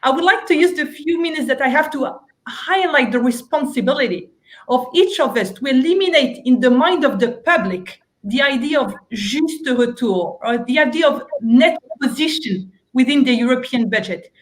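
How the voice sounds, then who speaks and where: A woman's voice: sits high, lively intonation, moderate pace. A woman, speaking as a member of parliament on a panel, earnest and persuasive, often restarting her sentences. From Romania. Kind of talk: panel discussion argument.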